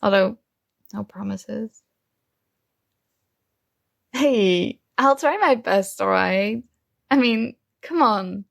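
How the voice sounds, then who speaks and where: A woman's voice: medium pitch, very slow, gravelly, long pauses, no room sound, clean studio sound, exaggerated voice. A woman acting a young roommate who is shy, teasing and smitten with a friend, in a playful, conversational ASMR roleplay. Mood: teasing, confident.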